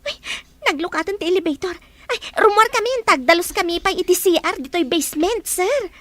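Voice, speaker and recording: Very high-pitched, giddy young hotel cleaner played by an actress. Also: female voice, expressive, brisk, a bit bright, no room sound. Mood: giddy, teasing. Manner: playful, giggly, outspoken. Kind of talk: Ilocano audio drama acting.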